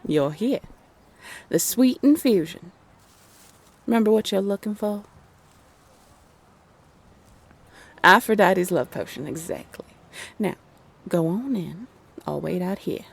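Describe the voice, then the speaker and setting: Woman as a teasing, sultry love spirit, gravelly purr. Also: medium pitch, lively intonation, full character voice. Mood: playful, coaxing. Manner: teasing, purring, drawn-out delivery. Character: teasing, sultry love spirit, unseen guide, playfully affectionate. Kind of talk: scripted roleplay audio drama.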